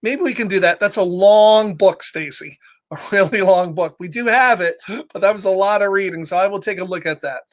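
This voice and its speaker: A man's voice: sits high, moderate pace. Middle-aged man hosting a children's storytime. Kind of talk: storytime read-aloud with casual chat to viewers.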